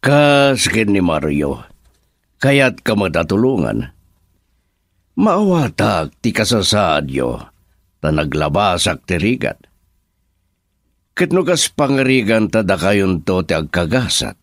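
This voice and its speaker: A man's voice: sits low, big pitch swings, slow pace, long pauses, dry recording, clean studio sound, heavily acted. An actor playing an older, encouraging businessman boss in an Ilocano radio drama. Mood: reflective, advising.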